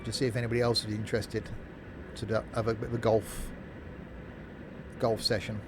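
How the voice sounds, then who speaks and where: A man's voice: level tone, fairly quick. A man chatting casually and jokily as he live-streams a game, replying to viewers in an informal way. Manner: informal, jokey, chatty asides. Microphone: streaming microphone through a mixing desk. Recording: constant background noise, vehicle rumble.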